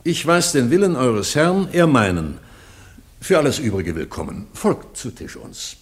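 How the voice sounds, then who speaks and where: A man's voice: mid-range voice, expressive, measured pace, husky. Proud king, sweeping declamatory delivery. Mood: stately, resolute. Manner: declamatory, stately. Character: proud, dignified, defiant ancient British king. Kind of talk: classical radio drama.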